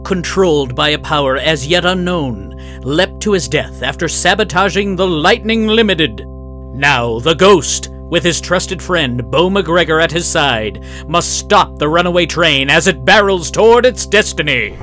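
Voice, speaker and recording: A man's voice: on the high side, lively intonation, unhurried, a bit bright, soft background music. A man playing the announcer of an old-time radio serial, narrating in a grandiose, suspenseful way.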